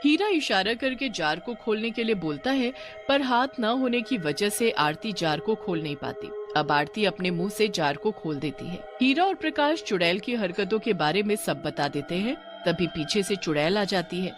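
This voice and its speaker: A woman's voice: mid-range voice, lively intonation, normal speed, hardly any pauses, over music. A woman narrator reading a scripted horror tale for a cartoon.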